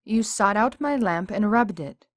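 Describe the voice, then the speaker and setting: A woman's voice: medium pitch, expressive, measured pace, no echo, studio quality, close to an everyday voice. A woman voicing a humble female djinni spirit, a servile mare who speaks formally and imploringly. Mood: earnest. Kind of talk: fan audiobook voice acting.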